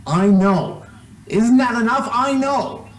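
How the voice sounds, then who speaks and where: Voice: mid-range voice, expressive, slow. An actor playing an insistent, suspicious accuser in an old-time radio suspense play. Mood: insistent, menacing. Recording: echoey, faint noise, off mic.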